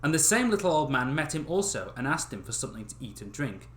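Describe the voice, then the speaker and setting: A young man reading stories aloud on a livestream in a casual, expressive way, swearing and breaking off with joking asides. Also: medium pitch, fast, slight background noise. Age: young adult. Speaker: livestream host reading fairy tales aloud. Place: small bedroom. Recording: roomy echo of a small room.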